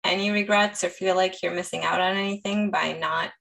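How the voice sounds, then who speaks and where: Woman host, low gravelly voice, stop-start admiring questions. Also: fairly even intonation. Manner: warm, admiring, halting questions. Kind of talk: casual interview.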